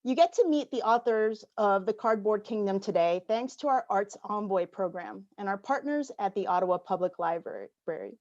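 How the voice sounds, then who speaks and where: A woman's voice: medium pitch, expressive, normal speed, hardly any pauses, darkish tone, lo-fi sound. Woman giving formal welcome remarks. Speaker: embassy representative opening the event. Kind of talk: reading prepared welcome remarks. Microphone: video call.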